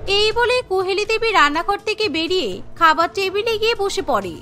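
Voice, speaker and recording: A woman's voice: very high-pitched, very animated, normal speed, pushed and bright, soft background music. A woman narrating a scripted Bengali cartoon story.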